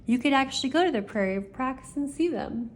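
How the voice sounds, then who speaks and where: A woman's voice: high-pitched, lively intonation, a little fast, a little hiss or hum. An older woman, a grandmother reading children's stories aloud expressively, with chatty asides to her young listeners.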